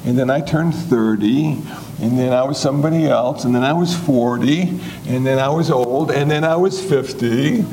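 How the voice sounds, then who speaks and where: A man in his seventies giving a relaxed, joking talk on Buddhist teachings in a folksy, conversational way. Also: medium pitch, moderate pace, slight background noise, rough recording. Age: 70s. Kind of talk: humorous dharma talk.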